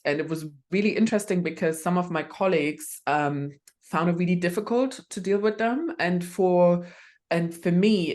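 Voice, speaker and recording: A woman speaks as an interview guest, her voice sitting very low for a woman. She talks loosely and thinks aloud, her sentences full of ums and restarts. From Germany. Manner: hesitant, many fillers and restarts. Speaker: guest expert. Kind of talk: conversational podcast interview.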